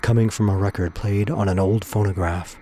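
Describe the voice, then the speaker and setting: A man's voice: on the low side, fairly even intonation, normal speed, crowd noise. A man reading scripted dramatic narration for an audio drama. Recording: music under the voice. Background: music.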